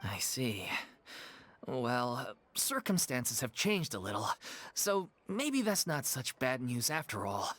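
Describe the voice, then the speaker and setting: A man's voice: medium pitch, sing-song, slow pace, fairly bright tone, full character voice. A man playing a worried, stern but conciliatory theatre troupe leader in a video game. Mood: composed, polite.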